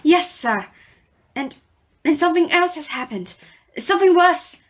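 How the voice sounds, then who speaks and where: A woman's voice: extremely high pitch, animated, unhurried, highs cut off. A woman in an audio play acting a deferential, nervous male ship's steward with a formal, hesitant manner. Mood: anxious, grave.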